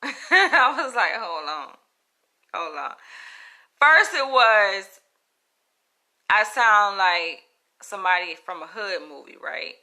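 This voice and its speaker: A woman with a Southern US accent, telling a story to camera in a frank, animated, profane way. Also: medium pitch, slow, pauses often. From Florida, US. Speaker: comedian. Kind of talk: casual storytelling vlog.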